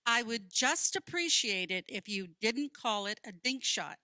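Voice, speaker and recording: Actress as a bright-voiced, earnest librarian woman. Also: a little high, animated, unhurried, no room sound. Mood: indignant. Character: earnest, principled female librarian, quick to challenge. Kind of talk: radio play dialogue.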